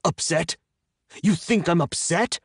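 Audiobook role: indignant, panicked young man, played by male narrator, raised pitch, exasperated and sarcastic, dry sound. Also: extremely slow, husky, no room sound, heavily acted. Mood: furious. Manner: exasperated, shouting, incredulous outbursts.

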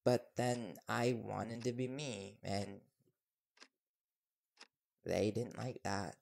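A man's voice: on the low side, fairly even intonation, extremely slow, gravelly, darkish tone, a little hiss or hum, heavily acted. A man playing an earnest, nervous young reporter boyfriend in an intimate audio roleplay, hesitant and deferential. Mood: reflective, hurt.

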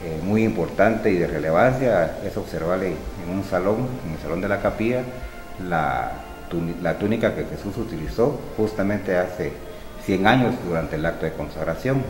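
A middle-aged man, a museum spokesman explaining an exhibition in a measured, informative way. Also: deep voice, lively intonation. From Guatemala. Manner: measured, informative, with small restarts. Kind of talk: explanatory museum tour talk. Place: museum hall with exhibits, indoors. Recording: echoey hall with music behind. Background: music.

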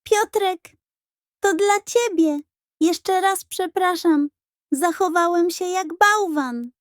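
A woman's voice: very high voice, slow pace, dry recording, clean studio sound, voice pushed up. A woman voicing a young boy, an eager, tender and emotional little brother in a children's audiobook. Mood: apologetic.

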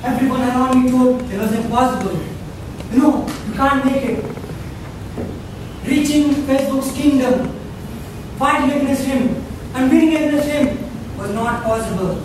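A young man with an Indian accent and a high voice tells a tall tale as a prepared speech. He delivers it theatrically, with emphatic phrases and dramatic pauses. He is far from the mic in a very echoey room with clear background noise. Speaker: club speaker. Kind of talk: rehearsed comic storytelling speech. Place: meeting room with a small audience. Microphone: far camera. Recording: echoey room, distant camera mic, noisy.

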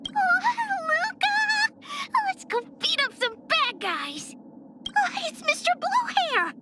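A cheeky, excitable young anime game girl with a very high voice that bounces around. She is playful and takes her time, and music plays clearly behind her. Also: slow, full character voice. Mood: excited, cheeky.

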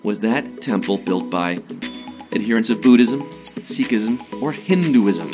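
A man in his thirties hosting a live trivia show from a studio, playful and jokey, a little hoarse from a sore throat. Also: lowish voice, lively intonation, music in the background. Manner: playful, jokey, slips into quick impressions and sung bits. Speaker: trivia game show host. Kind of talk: upbeat live quiz hosting. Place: studio before a green screen.

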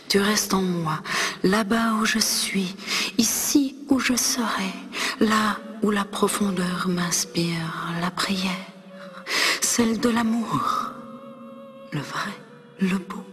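A woman performing her own poem as a dramatic recitation, breathy and often half-whispered, expressive, with long pauses between short phrases. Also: on the low side. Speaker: poet performing own work. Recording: long reverb, music underneath. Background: ney flute music.

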